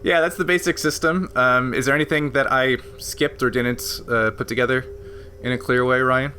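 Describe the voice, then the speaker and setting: A man's voice: mid-range voice, fairly even intonation, moderate pace, pushed and bright, a little hiss or hum. A man hosting a tabletop game podcast, explaining a rules system at length in a casual way, with frequent laughs. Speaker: game master and podcast host.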